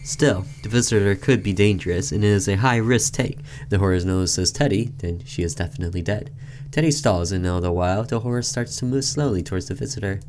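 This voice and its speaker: A man's voice: lowish voice, fairly quick, darkish tone, a little hiss or hum. A man hosting a gaming video, reading a visual novel aloud and commenting casually.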